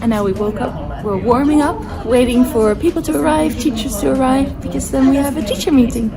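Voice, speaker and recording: A woman's voice: sits high, brisk, vocal fry, crowd noise. A woman vlog host giving a casual update.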